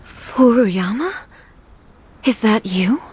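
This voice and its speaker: A woman's voice: on the high side, expressive, very slow, a little mellow, slight background noise. An actress playing a composed, stately female ruler in a dramatic anime video game, formal and reserved. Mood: softly solemn.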